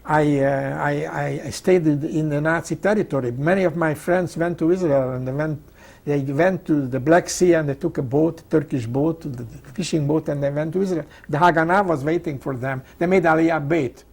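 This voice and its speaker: An elderly man, a native Hungarian speaker with a Hungarian accent, telling his life story in an emphatic, halting way, often repeating phrases. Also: fairly high pitch, vocal fry, darkish tone. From Czechoslovakia, Hungarian-speaking. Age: 80s or older. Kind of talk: oral history interview, personal storytelling.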